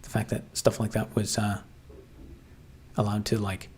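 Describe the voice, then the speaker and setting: A man's voice: on the low side, flat delivery, unhurried, a little mellow, a little hiss or hum. A man hosting a casual livestream, reading chat and musing aloud with lots of fillers and restarts. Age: adult, grew up watching 1990s TV.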